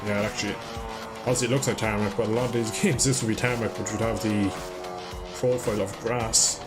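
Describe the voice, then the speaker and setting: A low-voiced man talks through a live motorbike game stream in a rambling, chatty way, swearing under his breath at every crash. Loud music pounds behind him over the roar of the game. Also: fairly even intonation, fairly quick. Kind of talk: live gameplay commentary.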